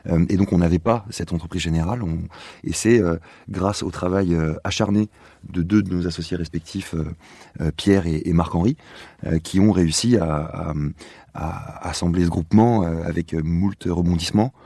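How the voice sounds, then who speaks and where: A man speaking as an interview guest, explaining in a halting, conversational way as he searches for words. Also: low-pitched, fairly even intonation, warm tone, no echo.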